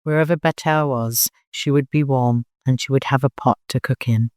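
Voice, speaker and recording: Dry, mid-pitched audiobook narrator. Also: fairly even intonation, normal speed, a little mellow, no room sound. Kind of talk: scripted dramatic fiction narration.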